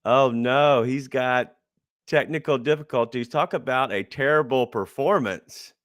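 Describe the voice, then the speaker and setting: A man hosting a casual sports podcast, upbeat and quick to hype things up. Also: mid-range voice, a bit bright, no echo, studio quality. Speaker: podcast host.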